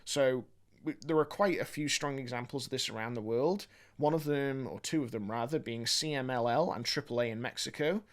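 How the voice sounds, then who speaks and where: Articulate man presenting a video essay. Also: mid-range voice. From born in England, grew up mostly in Australia. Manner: articulate, measured, academic phrasing. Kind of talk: video essay commentary to viewers.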